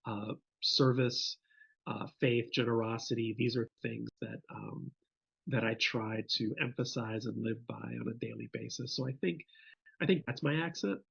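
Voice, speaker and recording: A man's voice: medium pitch, steady tone, moderate pace, gravelly, fairly bright tone, slightly rough, highs cut off. A man from the Bronx answering interview questions thoughtfully, often restarting his sentences. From Bronx, New York. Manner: thoughtful, with frequent restarts and fillers. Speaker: podcast guest.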